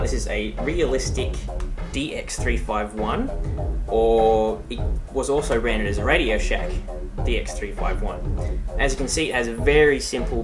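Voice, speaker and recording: Casual man demonstrating gear, music behind him. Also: medium pitch. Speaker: hobbyist video presenter. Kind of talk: casual talking product demonstration. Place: indoors in a room at home. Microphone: handheld iPod's built-in microphone. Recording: music behind amateur home recording.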